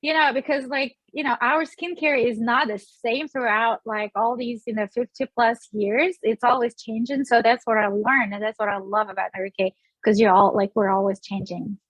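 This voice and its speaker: A woman's voice: a little high, fairly even intonation, moderate pace, hardly any pauses, a bit bright, no room sound, poor audio. A woman in her forties from Russia with a Russian accent, chatting as an interview guest, with many fillers and restarts. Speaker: guest, sales director. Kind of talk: casual interview.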